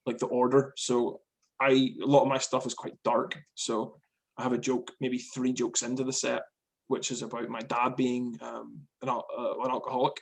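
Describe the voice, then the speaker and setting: Comic from Northern Ireland, gravelly male voice, chatty and self-interrupting over video call. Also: medium pitch, little pitch movement, moderate pace. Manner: rambling, laughs often, repeats words. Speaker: guest, stand-up comic. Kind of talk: casual video-call chat.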